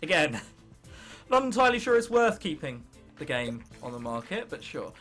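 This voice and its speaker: A man's voice: fairly high pitch, big pitch swings, moderate pace, a bit bright, faint music behind. A young man of about nineteen with an English accent, giving casual, jokey gaming commentary and reading game text aloud. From England. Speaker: gaming video host.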